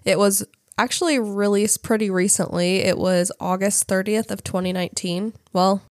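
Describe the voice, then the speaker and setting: A woman's voice: medium pitch, fairly even intonation, moderate pace, no echo, clean studio sound. A woman co-hosting a casual podcast, congested from a cold, reading out facts with frequent fillers and some hesitation over names. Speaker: podcast co-host.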